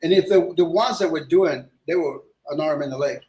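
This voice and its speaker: A man's voice: on the high side, fairly quick, a little mellow. A middle-aged man from Ecuador, a guest illustrator, chatting in a loose, rambling way with lots of restarts. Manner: rambling, many restarts and fillers. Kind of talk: casual interview chat. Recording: echoey room.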